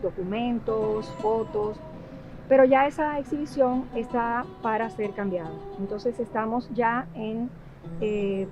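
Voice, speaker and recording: A Dominican woman with a Dominican Spanish accent, answering in a documentary interview. She speaks thoughtfully and often pauses on filler sounds while she searches for words. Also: fairly high pitch, low-quality recording. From Dominican Republic. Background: background music.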